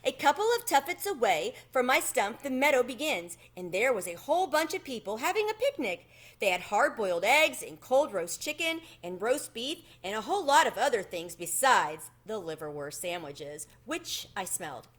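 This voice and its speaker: Woman as an earnest, high-voiced male country cricket. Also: big pitch swings, moderate pace, a bit bright. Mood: matter-of-fact. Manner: earnest, modest storytelling. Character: polite, earnest young male country cricket telling his adventure. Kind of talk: children's storybook read-aloud.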